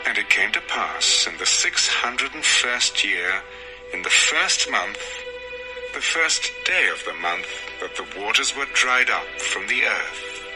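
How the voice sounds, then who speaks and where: A man narrating dramatized scripture as a storyteller, in a solemn, measured manner. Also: fairly low pitch, big pitch swings, rough recording. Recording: music under the voice.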